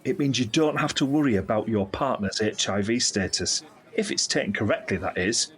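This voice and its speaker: An actor playing a candid, caring middle-aged uncle in an audio drama, speaking in an earnest, reflective, explanatory way. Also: male voice, fairly low pitch, normal speed, fairly bright tone, natural voice. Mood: hopeful, informative. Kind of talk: scripted audio drama dialogue.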